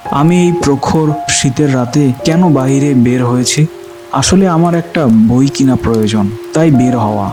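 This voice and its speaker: A man's voice: mid-range voice, close to an everyday voice. A man playing a young university student who tells his ghostly tale in dramatic, suspenseful first-person narration. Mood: scene-setting. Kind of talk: scripted first-person horror narration with acted dialogue.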